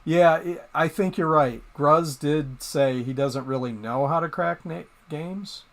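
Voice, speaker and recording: A middle-aged man from Michigan hosting a casual live stream, rambling with plenty of pauses and restarts as he talks to viewers in chat. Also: fairly high pitch. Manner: rambling, hesitant, many ums and restarts.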